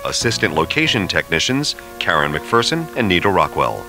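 A man's voice: deep voice, big pitch swings, moderate pace, music in the background. A man reading radio drama credits as a scripted announcer.

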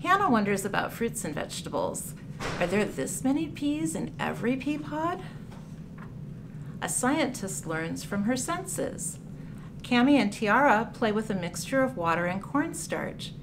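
A woman reading a picture book aloud for children, with deliberate, clearly phrased storytelling delivery. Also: on the high side, very animated. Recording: clear background noise, room ambience.

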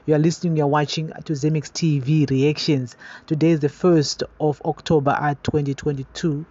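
A Zimbabwean man presents news reaction commentary in a fairly high voice. His delivery is halting, with repeated words. The recording is dull and lo-fi. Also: flat delivery, warm tone. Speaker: online gossip channel host. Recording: dull, lo-fi voice-over.

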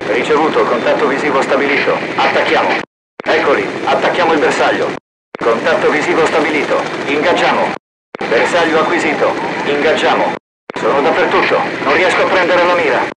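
A man's voice: on the high side, fairly quick, very noisy, lightly acted. An actor playing a male mercenary gunship pilot making clipped radio callouts. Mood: focused. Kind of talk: video game voice acting.

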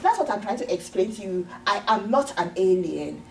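A woman's voice: mid-range voice, expressive, normal speed, gravelly, darkish tone. Woman acting eager gushing ghanaian immigrant lady in a homemade skit, apologetic, echoey, mic far away. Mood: pleading, insistent. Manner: gushing, hesitant restarts. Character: excitable, eager, apologetic immigrant woman with a new green card. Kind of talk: amateur comedy sketch acting. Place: indoor office waiting room and counter. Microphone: far camera. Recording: roomy, distant camera mic with hiss.

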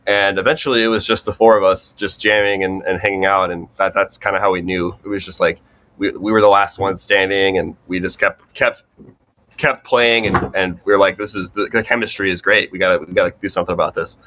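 A young man in a casual interview, telling stories in a halting, loose way with lots of "like". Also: deep voice, fairly even intonation, bright, forceful tone, a little hiss or hum. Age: young adult. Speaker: band drummer, interview guest. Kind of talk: casual chat, storytelling.

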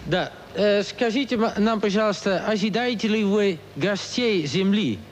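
A man's voice: high-pitched, measured pace, a little hiss or hum. A middle-aged man from the Netherlands with a Dutch accent, asking questions from a TV studio and stumbling over his words. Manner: hesitant, stumbling in Russian.

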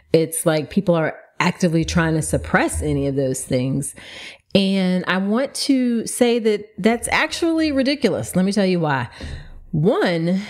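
A woman's voice: sits low, a little mellow. A middle-aged woman, a doctor hosting a solo podcast, speaking from a script with conversational asides and sounding slightly stuffy from allergies. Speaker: podcast host and OB-GYN doctor.

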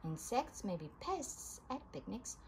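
A woman reading a children's science book aloud in an animated storybook manner, with emphasis for young listeners. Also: a little high, measured pace. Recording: clearly noisy home recording.

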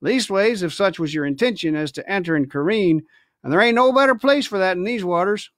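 A crafty one-legged old pirate cook, put on by a male reader, wheedling and folksy in his sailor's talk. The voice rides high and a little louder than the narration, close and dry. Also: lively intonation, brisk, dry recording. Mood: sly.

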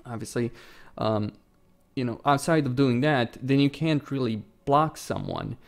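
A man's voice: mid-range voice, unhurried. A man with a Romanian accent, a gaming YouTuber talking bluntly and swearing freely in casual commentary over gameplay.

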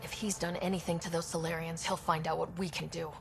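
An actress playing an earnest, determined young expedition leader in a video game, supportive and decisive. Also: female voice, on the low side, flat delivery, quick pace, gravelly, a little hiss or hum. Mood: resolute. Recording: long reverb, faint music behind.

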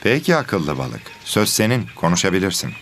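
A man's voice: sits low, big pitch swings, moderate pace. A man voicing a kindly, patient teacher in an educational cartoon, questioning and encouraging the pupils. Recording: clearly noisy background.

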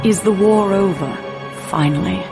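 A mystical seer narrating a game. She speaks very slowly and solemnly, with portentous weight, over clear music. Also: mid-range voice. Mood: solemn mystery.

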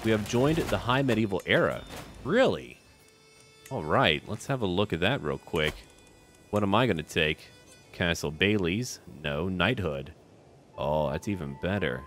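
Man, low-pitched voice, strategy game commentary, in-character ruler, short clipped thinking-aloud remarks, faint music behind. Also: a bit bright. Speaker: gaming video host.

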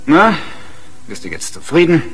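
A man's voice: a little high, huge pitch swings, moderate pace, faint music behind. An actor playing a pompous, needling middle-aged husband and father in a radio drama, condescending and self-righteous. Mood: irritated, accusing. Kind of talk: naturalistic radio drama acting.

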